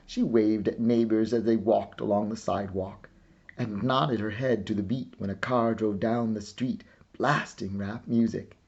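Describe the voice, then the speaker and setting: A man reads a children's story in a low, mellow voice with gravel in it. His delivery is measured and deliberate, and the recording is slightly dull. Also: fairly even intonation. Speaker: storybook reader. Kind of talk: children's picture book narration.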